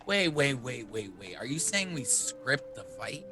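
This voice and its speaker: A man's voice: mid-range voice, very animated, unhurried. A man playing a tabletop game in character, talking in a jumpy, nervous, rambling way. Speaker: player. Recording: background music clearly heard.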